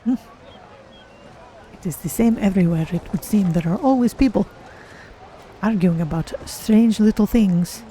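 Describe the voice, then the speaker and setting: A streamer voicing a polite, curious cat-folk adventurer in a measured, formal manner while roleplaying a game. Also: medium pitch, dark tone, slight background noise, lightly acted, low-quality recording. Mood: curious, musing.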